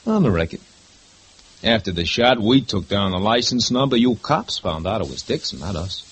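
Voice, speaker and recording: An actor playing a mocking, domineering husband in a 1950s radio drama, talking with a sarcastic edge. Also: male voice, fairly low pitch, expressive, moderate pace, fairly bright tone, slight background noise, low-quality recording. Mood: sneering. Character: sneering, bullying husband and witness.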